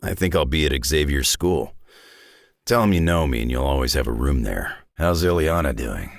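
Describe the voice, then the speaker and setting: An actor playing a gruff, world-weary, tough older brawler in a video game, with a gravelly, raspy growl and a blunt, laconic delivery. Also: male voice, rumbling low, lively intonation, normal speed, no room sound, studio quality, exaggerated voice. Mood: gruff, casual. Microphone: close, dry studio microphone.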